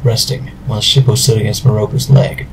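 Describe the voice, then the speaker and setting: A man's voice: lowish voice, fairly even intonation, fairly quick, slight background noise, poor audio. A man reading scripted narration for an amateur audio drama.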